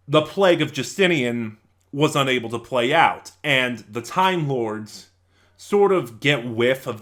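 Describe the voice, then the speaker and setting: A man with a mid-pitched voice hosts a review channel and speaks casually to his viewers. He talks in short, halting bursts with a conversational, unscripted feel. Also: a bit bright. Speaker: video reviewer and channel host.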